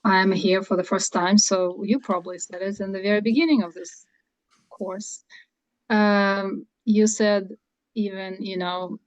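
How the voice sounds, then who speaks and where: A woman's voice: medium pitch, little pitch movement, a little slow, no echo, low-quality recording. A woman taking part for the first time, asking questions hesitantly on a video call.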